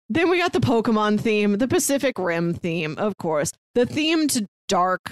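An American woman speaks as a podcast co-host, chatting informally and with enthusiasm. Her pitch sits in the middle range, and the sound is dry, with no room echo. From St. Louis, United States. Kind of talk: casual pop-culture recap chat.

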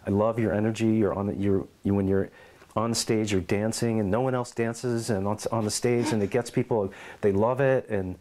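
A middle-aged man in an oral history interview at his home, telling lively stories and acting out quotes. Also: lowish voice, moderate pace. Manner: animated storyteller, acts out quotes and meows.

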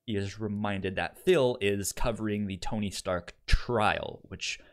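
Halting, enthusiastic man podcast host. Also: on the low side. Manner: halting, stammers and repeats syllables; enthusiastic about the material. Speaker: podcast co-host reviewing fiction. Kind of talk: casual review chat.

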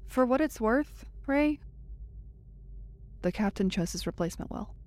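An actress playing a nervous, deferential young crew member in an audio drama, eager to please the boss. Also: female voice, fairly high pitch, animated, a little slow, a little mellow. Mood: earnest, pleased. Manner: hesitant, deferential.

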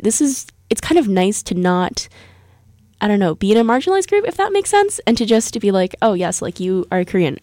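A woman's voice: mid-range voice, warm tone, no room sound, studio quality. A young American woman hosting a podcast, telling a story in a chatty, casual way, full of fillers and restarts. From United States. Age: young adult. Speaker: podcast host. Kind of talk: casual bilingual monologue, Korean then English.

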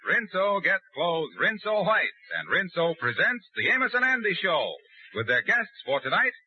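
An actor playing an eager, husky-voiced comic character pitching soap in a 1940s radio show. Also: male voice, sing-song, normal speed, exaggerated voice. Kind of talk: 1940s radio comedy opening. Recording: muffled, echo-free old radio recording.

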